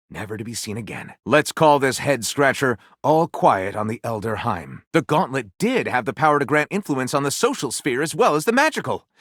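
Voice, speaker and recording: A man's voice: mid-range voice, fairly bright tone, dry recording, clean studio sound. A man voicing a theatrical, flirty literary storyteller in a video game, pompous and grandiose, with wry asides. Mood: dramatic, narrating. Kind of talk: dramatic video game character acting.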